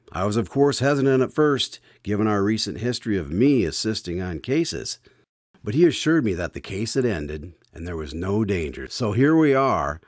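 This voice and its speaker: A man's voice: on the low side, no echo, clean studio sound. A man playing a polite, somewhat nervous radio presenter who hosts a drama in announcer style. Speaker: announcer and host. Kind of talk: old-time radio show presenting.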